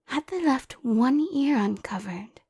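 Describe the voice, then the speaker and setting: A woman acting as a grave nature documentary narrator speaks in a hushed, breathy murmur. The delivery is slow and grandly suspenseful, with a creaky edge, as parody for an audio roleplay. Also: sits high, lively intonation, exaggerated voice. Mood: mock-grave, suspenseful.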